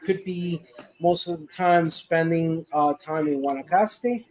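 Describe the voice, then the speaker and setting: A man's voice: fairly high pitch, a little slow, darkish tone, low-quality recording. A man from Costa Rica, a guest on a livestream, talking casually and hesitantly with many fillers. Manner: rambling, hesitant with many uhs. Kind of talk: casual chat.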